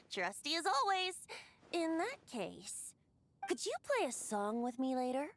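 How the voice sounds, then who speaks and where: A woman voicing a youthful male wind-spirit bard in a video game, with a lilting, poetic, gently teasing delivery. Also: high voice, sing-song, slow pace, full character voice. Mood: warm, wistful. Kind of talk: scripted video game voice acting.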